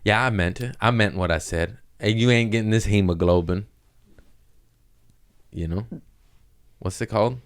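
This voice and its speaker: A man with a deep voice talks as a comedy podcast host. His delivery is casual and slangy, tossing out absurd riffs with a straight face. The sound is dry, with little room around him. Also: normal speed, long pauses, clean tone, no room sound. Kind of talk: casual joking podcast chat.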